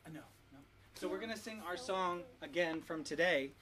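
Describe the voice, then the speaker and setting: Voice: medium pitch, moderate pace, crowd noise. A parent leading a family devotional and prayer, giving short instructions and prompts. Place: at home with family. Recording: noisy home video recording.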